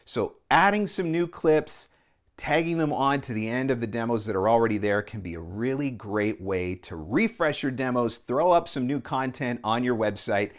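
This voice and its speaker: A man in his forties with a North American accent talks conversationally as a coach hosting a tutorial, stressing key words. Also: mid-range voice. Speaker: voiceover coach and video host. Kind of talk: casual tutorial talk to camera. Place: small indoor room at home or office. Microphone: lapel mic.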